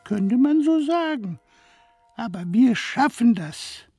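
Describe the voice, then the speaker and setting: Casting: harmless, self-important ghost sidekick for a kids' radio play, very high voice, slow theatrical drawl. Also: female voice, expressive, warm tone, slightly rough, no room sound, faint music behind, heavily acted. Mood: reassuring. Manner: theatrical, plaintive, drawn-out delivery. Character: good-natured, vain, harmless little ghost, loyal sidekick. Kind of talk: children's radio play acting.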